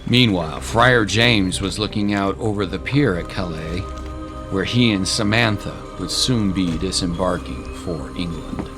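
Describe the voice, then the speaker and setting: A man's voice: low voice, unhurried. A man narrating a scripted adventure story in a dramatic style. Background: music.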